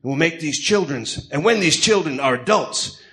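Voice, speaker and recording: Man putting on a bright, pompous ex-villain tycoon. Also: on the high side, lively intonation, normal speed. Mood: righteous, persuasive. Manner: pompous, theatrical. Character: flamboyant Greek ex-villain tycoon, grandiose, smooth self-justifying showman. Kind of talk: comedic read-aloud radio drama.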